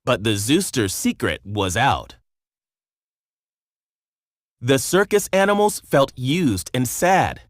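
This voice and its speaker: A man narrating a scripted children's storybook in an expressive, measured storytelling manner. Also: mid-range voice, no room sound, clean studio sound.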